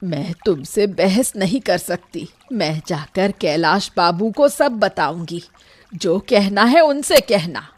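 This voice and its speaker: A woman playing a caring, practical old village aunt in a Hindi animated moral story, speaking in a measured, plain way. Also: mid-range voice, no echo. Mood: protesting.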